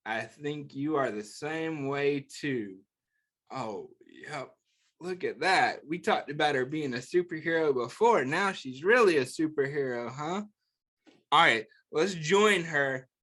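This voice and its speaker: Man, higher-than-average voice, very unhurried delivery, children's picture-book reading, encouraging, simple instructive phrasing. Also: animated, a bit bright. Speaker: children's story time reader and host. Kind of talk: reading a picture book aloud to children, with chatty asides and questions.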